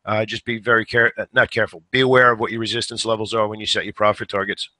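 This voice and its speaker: A man hosting a stock trading show, giving live market commentary in a conversational way, a little hoarse today and repeating words as he thinks. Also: fairly low pitch, flat delivery, fast talker, bright, forceful tone, no echo, clean studio sound. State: slightly hoarse.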